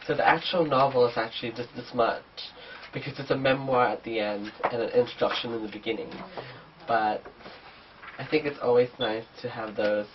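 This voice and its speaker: A man's voice: mid-range voice, fairly even intonation, normal speed, background noise. A man with a cold and a blocked, nasal voice, chatting casually through a book haul vlog. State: sick, blocked nose. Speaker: book vlogger. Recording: distant dull camera mic.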